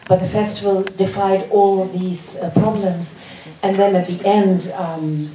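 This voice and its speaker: A woman in the audience tells of an experience in a warm, mellow voice, using some fillers. She is picked up distantly, with the echo of a large cinema around her. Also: mid-range voice, level tone, a little slow, slight background noise. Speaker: audience member. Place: cinema auditorium. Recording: echoey hall from a distant mic.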